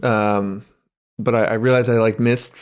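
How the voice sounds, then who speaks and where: A man's voice: lowish voice, flat delivery, a little slow, smooth. A man co-hosting a podcast in casual comedic chat, slangy and profane. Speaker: podcast co-host.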